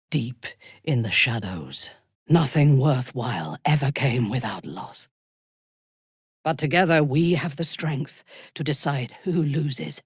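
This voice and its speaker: An actress playing a ruthless, haughty female warrior from the Underdark in a video game, speaking in a measured, imperious and menacing way. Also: rumbling low, slightly rough, no echo, studio quality, full character voice. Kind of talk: video game character voice lines.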